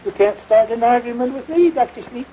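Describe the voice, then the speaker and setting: A man's voice: very high-pitched, brisk, mellow. An actor playing a pompous, long-suffering American husband and father in a domestic comedy, speaking formally with dry sarcasm. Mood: aloof, irritated. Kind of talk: domestic radio-style situation comedy. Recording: noisy vintage broadcast recording.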